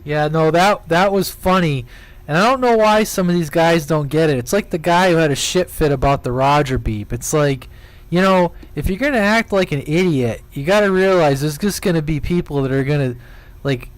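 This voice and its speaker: Male, slightly high voice, dry close sound, laid-back casual banter. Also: normal speed, dry recording, poor audio. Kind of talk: casual chat.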